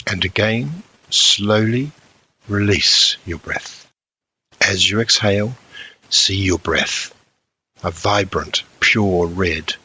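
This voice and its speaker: A man narrating a scripted guided meditation as an instructor, in measured short phrases with frequent pauses. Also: low voice, sharp tone, slight background noise, low-quality recording.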